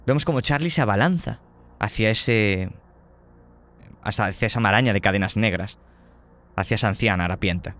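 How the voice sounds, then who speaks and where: A man's voice: fairly low pitch, fairly bright tone. A man narrating a tabletop horror game as game master, describing scenes vividly and dramatically.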